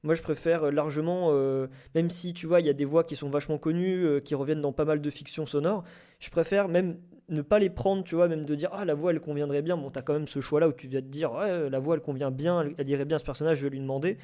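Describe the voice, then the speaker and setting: A man's voice: on the high side, fairly even intonation, quick pace, warm tone. A man talking in a casual debate, with an informal, wordy, slangy way of speaking. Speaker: debate participant, amateur audio fiction maker.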